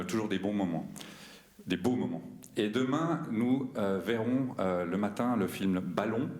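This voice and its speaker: A man, the festival president, giving a welcome speech in a cinema hall with many pauses and restarts. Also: fairly low pitch. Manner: hesitant, many fillers and restarts. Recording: roomy hall.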